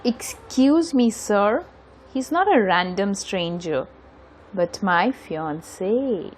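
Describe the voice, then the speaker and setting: A woman plays an earnest young Bengali woman in an audio drama, protective of the one she loves. She has an Indian accent and a very animated, expressive melody to her speech. There is faint noise behind her. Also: mid-range voice, slow. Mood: earnest, pleading. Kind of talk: amateur audio drama acting.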